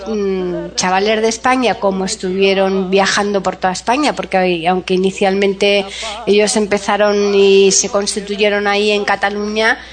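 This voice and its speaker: A woman from Spain with a southern Spanish accent, chatting casually and explaining things in a talkative way as a host. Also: medium pitch. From Spain, Seville area. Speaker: host of a music programme. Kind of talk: casual chat about old records.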